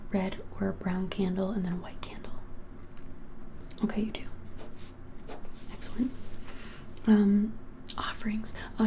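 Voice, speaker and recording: A woman in her twenties whispering a casual ASMR shopkeeper roleplay in a breathy, halting, helpful way. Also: medium pitch, slight background noise. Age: 20s. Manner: soft, hesitant, halting, helpful explaining. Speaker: ASMR creator playing a witch shop keeper. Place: small plain indoor room.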